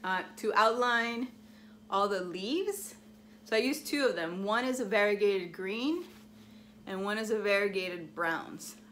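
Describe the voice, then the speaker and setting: A woman's voice: medium pitch, fairly bright tone, a little hiss or hum. A woman whose first language is Spanish, chatting in a bubbly, gushing way as she shows off her crafts. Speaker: crafting vlogger. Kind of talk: casual show-and-tell chat.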